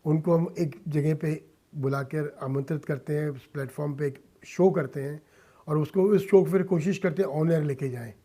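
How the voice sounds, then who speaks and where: Male, middle-aged or older, higher-set yet soft-edged mellow voice, earnest interviewee delivering deliberate, emphatic phrases. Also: fairly even intonation. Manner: emphatic, deliberate, short phrases, respectful address, some English mixed in. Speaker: interview guest, social-cause filmmaker and philanthropist. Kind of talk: earnest radio interview talk.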